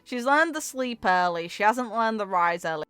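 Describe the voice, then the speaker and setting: A woman's voice: mid-range voice, normal speed, clear, resonant tone, dry recording, soft background music. Woman gamer, dry close sound, casual commentary. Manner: informal, interjecting, expressive. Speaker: gaming video host. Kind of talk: casual off-the-cuff reaction commentary.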